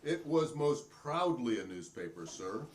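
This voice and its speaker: Lively, defiant witness played by a man. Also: mid-range voice, measured pace. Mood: matter-of-fact, proud. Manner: formal, pointed, unyielding. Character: defiant, proudly principled male hearing witness, former union organizer. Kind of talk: dramatic reading of a hearing transcript.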